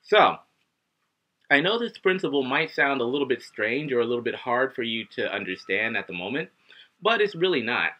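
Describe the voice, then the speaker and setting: Lively lo-fi male video teacher. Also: medium pitch, moderate pace. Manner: animated, acts out students and himself, gestures a lot. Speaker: English teacher. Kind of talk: casual video lesson to camera. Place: living room at home, indoors. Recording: dull lo-fi webcam audio.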